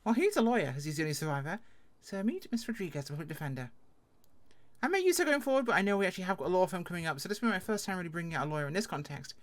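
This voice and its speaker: Brief: female gaming host, very quick delivery, casual improvised roleplay chat. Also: fairly low pitch, very animated.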